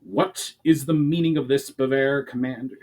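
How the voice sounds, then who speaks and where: A man's voice: mid-range voice, fairly even intonation, moderate pace, darkish tone, close to an everyday voice. A man voicing a stern, authoritative knight commander with formal speech in a fantasy audiobook. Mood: furious, commanding. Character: stern, commanding male knight commander, protective of his city.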